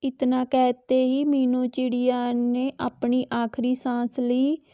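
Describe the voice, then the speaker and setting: High, flat-toned woman storyteller. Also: clear, resonant tone, no echo. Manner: even, measured storytelling. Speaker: narrator. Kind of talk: scripted children's story narration.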